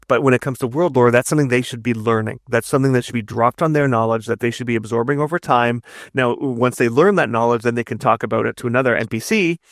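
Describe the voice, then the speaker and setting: A man with a low-creaking, gravelly voice talks like an instructor in an interview. He explains emphatically, doubling back on words and tagging points with "right?", in a dry, close sound. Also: no room sound. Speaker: narrative design instructor, podcast guest. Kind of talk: conversational interview, explaining craft advice.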